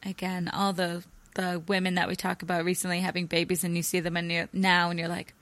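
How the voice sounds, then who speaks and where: A woman with a raspy voice co-hosting a podcast, playful and swearing casually. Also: on the low side, monotone, fairly quick, fairly bright tone, no echo. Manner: playful, swears freely, laughs. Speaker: podcast co-host. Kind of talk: casual chat.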